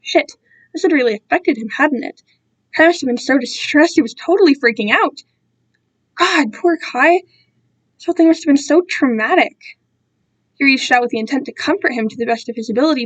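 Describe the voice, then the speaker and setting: A woman giving an amateur dramatic reading of a story, narrating in an expressive, emotive and informal manner. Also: fairly high pitch.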